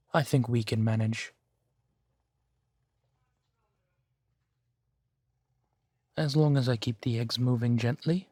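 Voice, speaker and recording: Slow-spoken, courteous gentleman vampire, acted by a man. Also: medium pitch, no echo, lightly acted. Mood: hospitable, pleased. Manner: formal, polite, measured phrasing with soft sighs. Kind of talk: intimate roleplay audio drama.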